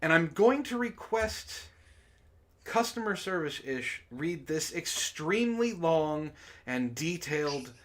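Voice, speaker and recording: A man's voice: fairly high pitch, very animated, slow pace, fairly bright tone. A man hosting a casual group book reading, chatting to his audience and reading aloud in a playfully theatrical, teasing way.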